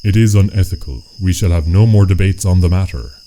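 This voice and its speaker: An actor playing a stern, imperious imp elder in a radio play, speaking in a pompous, archaic, declamatory way. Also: male voice, low-pitched, a little fast, mellow, slight background noise. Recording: music and insect chirps behind voice.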